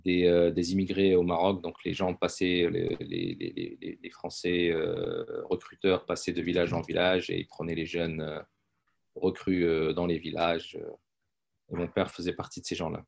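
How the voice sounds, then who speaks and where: Deep-voiced man, gravelly, middle-aged, halting with fillers. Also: darkish tone. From France. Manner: hesitant, many fillers and repeated words. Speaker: guest, intensive care doctor. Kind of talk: casual interview talk.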